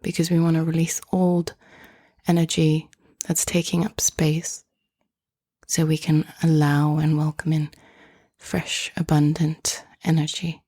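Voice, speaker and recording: Soft, flat, hushed meditation guide woman. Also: deep voice, dry recording, studio quality. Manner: soft, hushed, often half-whispered delivery; gentle, inviting, unhurried phrasing.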